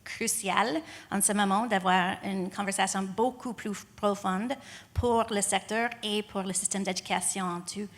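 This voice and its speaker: A woman from Canada whose first language is English, speaking on a panel with an English Canadian accent, halting and searching for words. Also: mid-range voice, steady tone. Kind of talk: panel discussion.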